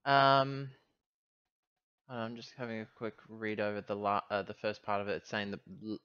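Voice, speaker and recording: A man playing a tabletop game over a muffled online voice call, speaking haltingly as he thinks aloud. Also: lowish voice, little pitch movement, a bit bright, clear, resonant tone, no room sound. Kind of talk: casual game chat.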